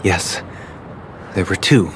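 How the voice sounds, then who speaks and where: An actor playing a solemn, pompous and coldly righteous aristocrat in a video game, speaking in formal, grandiose phrases. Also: male voice, fairly bright tone. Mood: grave. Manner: formal, measured, grandiose speech. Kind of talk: scripted game voice acting. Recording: music behind the voice.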